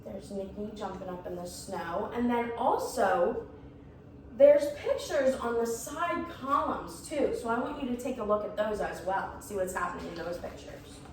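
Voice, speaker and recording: Echoey, expressive woman reading a picture book. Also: on the high side. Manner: expressive, pointing out pictures to listeners. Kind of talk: read-aloud narration with asides to young listeners. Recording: roomy, echoing, distant mic, faint noise.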